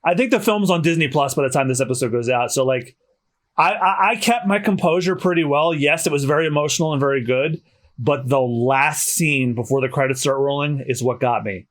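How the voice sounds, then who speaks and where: A man hosting a casual podcast, chatty and slightly hoarse, often restarting his sentences. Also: fairly high pitch, brisk, fairly bright tone. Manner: chatty, self-correcting restarts. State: slightly hoarse after dental work. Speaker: podcast host.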